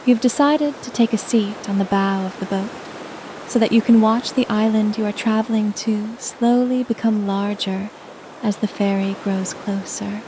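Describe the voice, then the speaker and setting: A woman narrating a scripted guided sleep meditation in a soothing, gentle manner. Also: medium pitch, background noise.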